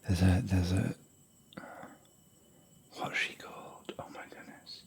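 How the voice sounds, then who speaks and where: A man whispering softly in a rambling, chatty, gentle way while hosting an ASMR hotel room tour. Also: low voice, monotone, slow pace, creaky. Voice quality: soft whisper.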